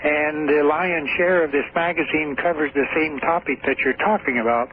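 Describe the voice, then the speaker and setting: A man's voice: on the high side, fairly even intonation, moderate pace. A man calling in to a radio show, polite and methodical, spelling out names as he goes. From Washington state, USA. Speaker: caller. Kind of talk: phone-in call. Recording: tinny phone line on an old radio broadcast.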